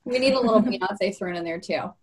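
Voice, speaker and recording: Casting note: woman host, mid-pitched voice, easygoing and friendly, quick interjections. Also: a little mellow, husky. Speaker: podcast host. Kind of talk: casual interview talk.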